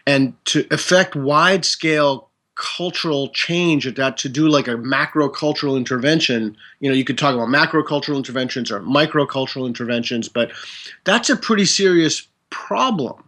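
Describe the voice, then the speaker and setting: A man speaking as a guest in a conversation. He talks in a measured way, hedges his claims and reaches for academic words. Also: medium pitch, fairly bright tone. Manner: measured, hedging, academic wording. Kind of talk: casual conversation.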